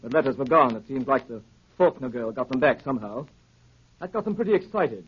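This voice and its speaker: An actor playing a dutiful police inspector undercover as a butler, husky, deferential and matter-of-fact, in 1930s radio drama. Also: male voice, mid-range voice, lively intonation, a little fast. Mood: earnest, reporting. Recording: dull 1930s radio recording.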